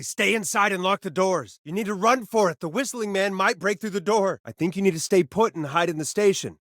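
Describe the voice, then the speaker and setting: Wry, cynical radio host, dry studio sound. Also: male voice, on the high side, dry recording. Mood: anxious, exasperated. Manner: sardonic, hesitant stammering under pressure. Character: wry, cynical male late-night radio talk show host, rattled. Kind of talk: video game voice acting, conversational radio patter.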